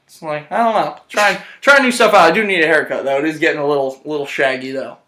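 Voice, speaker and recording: Brief: young man, slightly high voice, relaxed rambling talker, slangy and filler-heavy, phone camera in a hospital room. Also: quick pace. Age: young adult. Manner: laid-back, rambling, slangy with lots of fillers. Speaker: interviewee. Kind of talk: casual chat. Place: hospital room, lying in bed.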